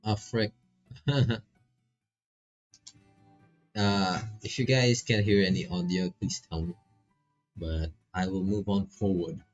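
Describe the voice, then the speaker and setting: A man's voice: on the low side, a little mellow, muffled recording. A man doing laid-back, casual live commentary on a visual novel, reading text aloud and swearing freely as he reacts.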